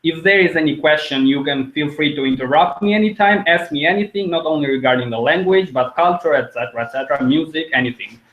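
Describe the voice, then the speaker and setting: A man's voice: fairly high pitch, fast talker, few pauses. A young man from Albania with an Albanian accent, teaching an informal online language lesson as host. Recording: dull lo-fi video call audio.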